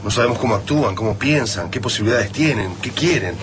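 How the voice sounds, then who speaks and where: An actor with an Argentine accent playing an earnest, stubbornly hopeful resistance hero in a radio drama. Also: male voice, mid-range voice, animated, quick pace, background noise, close to an everyday voice. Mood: doubtful, questioning.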